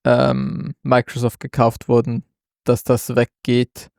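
Man, creaky voice, relaxed tech chat, dry sound. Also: medium pitch, slow pace, dry recording. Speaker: podcast co-host. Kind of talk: casual tech news chat.

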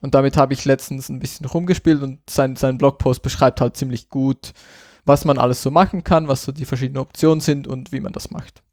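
A man co-hosting a podcast, chatting casually about software and thinking aloud with lots of filler sounds. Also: medium pitch, fairly even intonation, brisk, hardly any pauses, dry recording, clean studio sound. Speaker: podcast co-host. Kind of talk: casual tech chat.

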